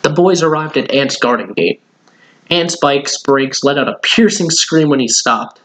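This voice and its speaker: A man's voice: on the high side, unhurried, fairly bright tone, low-quality recording. A man reading a children's storybook aloud, occasionally stumbling and rereading a word. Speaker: story reader.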